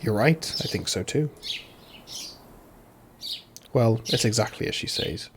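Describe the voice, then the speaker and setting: A man reading translated lines of a visual novel aloud over loud game music, mostly level but now and then breaking into big laughs. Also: mid-range voice, measured pace, noisy background. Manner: reads every character himself, mostly flat, bursts into loud laughter.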